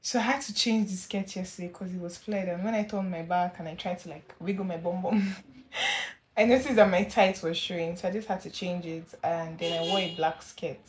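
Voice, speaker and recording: A young woman, chatting casually at home as a lifestyle vlogger, relaxed and gushing with soft laughs. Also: on the low side, fairly quick. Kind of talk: casual chat to camera.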